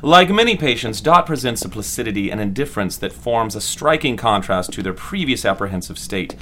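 A man's voice: lowish voice, lively intonation, brisk, pushed and bright, slight background noise. A man playing a pompous, patronizing doctor lecturing students formally in a 1940s-style audio drama. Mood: smugly didactic. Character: pompous male doctor lecturing medical students, clinically smug.

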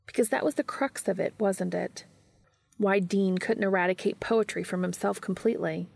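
A woman's voice: medium pitch, steady tone, moderate pace, creaky, darkish tone, breathy edge. A woman reading a story aloud as scripted narration. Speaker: fan fiction reader.

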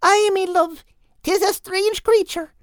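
A man voicing a gentle, affectionate female seagull with lilting, old-fashioned speech in an audiobook. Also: very high voice, steady tone, takes it slowly, no room sound, clean studio sound, pitched above the performer's own voice. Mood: fond. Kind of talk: audiobook character voice.

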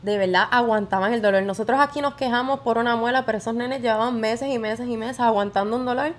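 A woman with a Puerto Rican accent, a dental assistant volunteer, explaining in a measured conversational way. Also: a little high. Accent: Puerto Rican Spanish. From Puerto Rico. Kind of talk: conversational interview answers.

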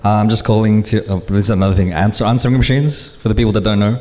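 A man from the Philippines, co-hosting a live podcast and sharing stories in a relaxed, self-deprecating way. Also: on the low side, rapid-fire. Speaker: podcast co-host. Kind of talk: casual live panel chat. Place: live conference stage. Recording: hall reverb on stage.

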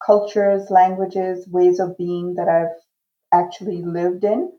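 Slow, measured woman on a roomy, muffled line. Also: on the low side, level tone. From grew up in Iran. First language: Urdu. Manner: slow, measured and reflective, with fillers. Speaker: guest, education professor. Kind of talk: interview answer.

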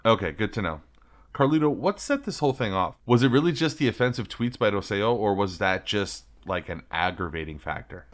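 A man co-hosting a comedy podcast, playing a news anchor and then chatting casually, playful and quick to swear. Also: fairly low pitch, lively intonation. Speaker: podcast co-host. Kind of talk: mock news interview and casual chat.

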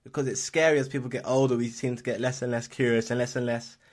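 Man, mid-pitched voice, encouraging interview host, affirming. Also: little pitch movement, fast. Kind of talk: conversational interview.

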